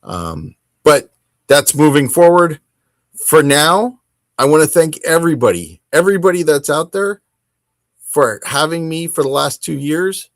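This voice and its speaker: A man hosting a hobby podcast, talking casually on his own in a rambling, halting and self-deprecating way. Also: on the high side, expressive, no echo. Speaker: host of a tabletop wargaming podcast. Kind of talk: casual solo chat to camera.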